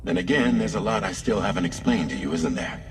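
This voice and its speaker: An actor playing a suave, knowing intermediary in a game cutscene, speaking in a formal, measured, explanatory way. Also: male voice, deep voice, expressive, slight background noise. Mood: knowing, composed. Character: suave, knowing male intermediary briefing a courier. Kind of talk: scripted game cutscene dialogue. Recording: distant, with music behind.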